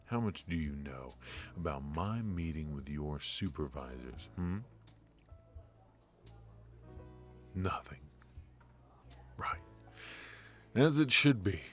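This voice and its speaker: Casting: male actor as brooding noir mafia boss, extremely low voice, weary and confiding, unhurried delivery for intimate roleplay audio. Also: very animated, soft background music, full character voice. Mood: probing, condescending. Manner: measured, weary, confiding, with heavy pauses.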